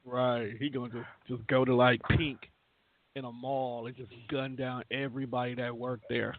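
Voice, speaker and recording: A man's voice: medium pitch, level tone, normal speed, no echo. A man with an American accent, chatting casually and slangily as a teasing radio show host. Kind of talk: casual chat. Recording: narrow phone-like line, dry.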